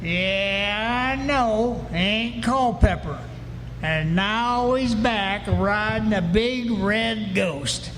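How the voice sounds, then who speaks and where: Man acting a very high-voiced, slow frontier rancher. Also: fairly bright tone, full character voice. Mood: frustrated, wistful. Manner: folksy, emphatic, drawling western talk. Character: earnest, rattled male frontier rancher, stubborn and plain-spoken. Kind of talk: live old-time western radio drama acting. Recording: noisy echoey hall, live stage performance.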